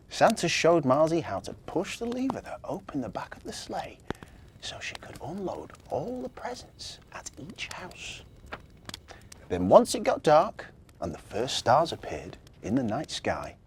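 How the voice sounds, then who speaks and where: Male story reader: slightly high, breathy-husky voice, hugely varied intonation, children's storytelling. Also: slight background noise.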